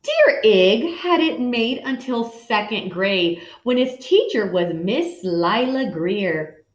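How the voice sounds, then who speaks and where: A woman's voice: fairly high pitch, very animated, slow pace. A woman in her thirties reading a picture book aloud dramatically, speaking to young listeners. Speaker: children's story reader. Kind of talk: expressive picture-book read-aloud with asides. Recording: dull sound in echoey room.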